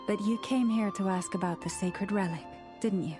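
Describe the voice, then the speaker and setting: A woman playing a young moon priestess in a video game, solemn, gentle and earnest, speaking in a measured, formal, reverent way. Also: mid-range voice, over music, natural voice. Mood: troubled, reflective. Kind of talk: video game cutscene acting.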